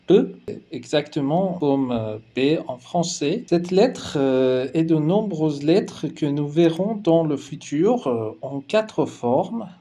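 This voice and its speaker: A man walks learners through a language lesson slowly and methodically, pausing with small hesitations. His voice sits a little high but sounds mellow and warm. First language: Persian. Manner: slow, careful, explanatory delivery with hesitation fillers. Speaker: language teacher.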